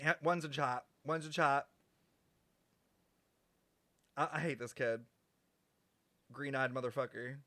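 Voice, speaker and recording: A woman's voice: very deep voice, moderate pace, a bit bright, no echo. A woman streaming games, giving casual, profane, blunt reaction commentary with exaggerated outrage. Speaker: game streamer.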